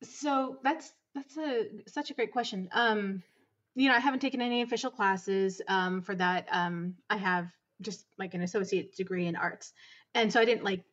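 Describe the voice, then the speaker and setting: A woman answering as a guest in a casual interview, piecing her thoughts together in short, halting phrases. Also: mid-range voice, fairly bright tone, lo-fi sound. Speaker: interview guest, audio drama director.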